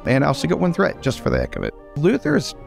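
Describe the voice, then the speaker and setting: A man's voice: fairly high pitch, wildly swooping pitch, fast talker, vocal fry, faint music behind. A man chatting casually as a player in a tabletop role-playing game, improvising his character's story.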